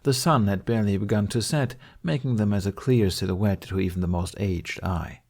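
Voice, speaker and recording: A man reading scripted fiction as an audiobook narrator, with a slightly husky voice. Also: fairly low pitch, normal speed, darkish tone.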